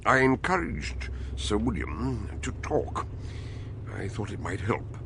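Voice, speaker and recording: A man playing an urbane, genial and inquisitive British gentleman detective with a wry, expansive delivery in a radio drama. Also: on the low side, very animated, takes it slowly, creaky, noisy background, full character voice. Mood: grave, probing. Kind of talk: radio drama acting and first-person narration.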